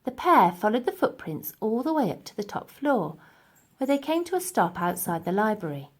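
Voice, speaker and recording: A woman's voice: mid-range voice, sing-song, normal speed. A woman narrating a children's storybook expressively as its reader.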